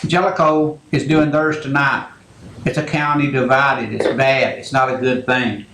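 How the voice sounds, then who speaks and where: A man hosting a call-in radio show, talking in a slow, halting, rambling way. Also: mid-range voice, little pitch movement, creaky, roomy sound, noisy background. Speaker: radio talk show host.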